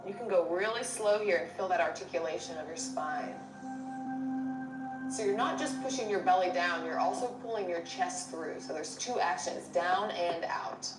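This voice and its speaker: A woman's voice: lowish voice, moderate pace. A woman leading a guided yoga class, giving step-by-step cues for breath and movement in short phrases. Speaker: yoga instructor. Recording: roomy distant mic under loud music.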